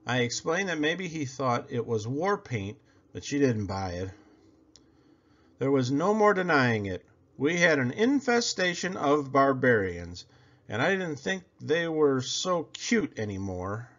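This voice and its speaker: Very animated man reading a children's storybook. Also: medium pitch. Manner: theatrical, expressive delivery with drawn-out words. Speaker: storybook reader. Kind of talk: animated children's read-aloud. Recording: slightly dull, lo-fi audio.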